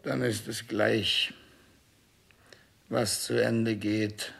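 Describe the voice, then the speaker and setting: Dying king for radio drama: grandiose, afraid, very slow theatrical delivery, gravelly and husky. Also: lowish voice, little pitch movement, fairly bright tone, heavily acted. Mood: bitter, fading. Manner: theatrical, slow declaiming, swinging between bombast and faint pleading. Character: dying king, grandiose, self-obsessed, terrified of death. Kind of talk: 1960s German radio drama acting.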